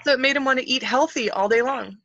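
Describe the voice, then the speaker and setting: A woman's voice: sits high, quick pace, fairly bright tone, slight background noise. A woman in her forties chatting with enthusiasm on a video call from her kitchen, with plenty of ums. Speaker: host. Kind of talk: casual chat. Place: home kitchen at night. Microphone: webcam on a video call. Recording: muffled webcam audio.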